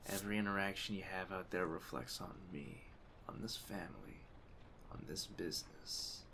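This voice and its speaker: Aging mafia don, played by a man: very deep, creaky, measured authority, streetwise ASMR roleplay delivery. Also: bright, forceful tone. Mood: stern, grave. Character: calm, commanding aging mafia boss giving orders. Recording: clear ambient storm noise behind. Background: storm ambience.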